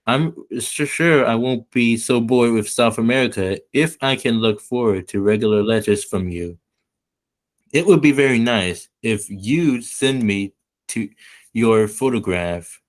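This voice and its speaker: A man playing a courteous, eager young American engineer reading out a letter in a 1940s-style radio play, measured and slightly halting. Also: medium pitch, lightly acted. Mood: polite, hopeful. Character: polite, eager twenty-two-year-old American mining engineer.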